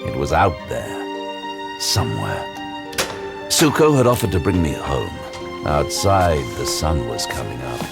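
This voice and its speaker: An actor playing a weary, haunted detective who narrates his own audio drama in a brooding, deadpan way. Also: rumbling low, unhurried, gravelly, long pauses, heavily acted. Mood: weary, wry. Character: weary, haunted male demon-hunting detective, grimly wry. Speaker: lead character narrating in the first person. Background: dramatic music.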